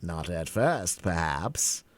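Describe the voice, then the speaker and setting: A man's voice: deep voice, sing-song, slow pace, exaggerated voice. A man reading a storybook aloud and voicing a mournful, rambling eccentric hatter close to his own voice.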